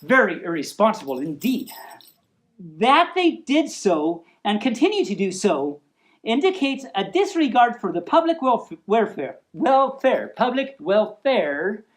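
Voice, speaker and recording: A woman's voice: medium pitch, big pitch swings, unhurried. A woman hosting a storytime, reading aloud in a playful, mock-serious manner with chatty asides and stumbles over long words. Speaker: storytime show host.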